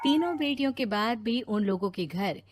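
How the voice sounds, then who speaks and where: A woman narrates a cartoon story from a script. Her voice sits high in pitch. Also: lively intonation, moderate pace, heavy background noise.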